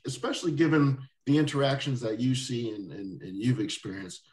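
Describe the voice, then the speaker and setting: Male interview host, easygoing and rambling, mid pitch, recorded off-mic in a roomy space. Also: lively intonation, a little fast. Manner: rambling, with many restarts and soft laughs. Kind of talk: casual interview chat. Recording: roomy echo, distant mic.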